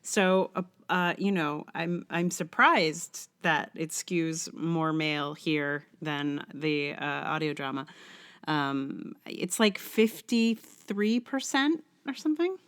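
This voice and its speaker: A middle-aged woman talks alone as a podcast host. Her voice sits low for a woman, with a clean, resonant tone. She comes across as wry and reflective, often turning questions back on herself. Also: slow, fairly bright tone, clean tone. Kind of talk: scripted essay reading, then casual rambling solo podcast talk.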